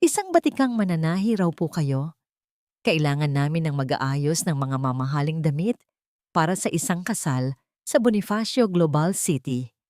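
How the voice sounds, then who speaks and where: A woman voicing a brisk, formal female event staffer making a hurried call in an audiobook drama. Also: low-pitched, expressive, darkish tone, no room sound, clean studio sound. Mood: businesslike, hurried.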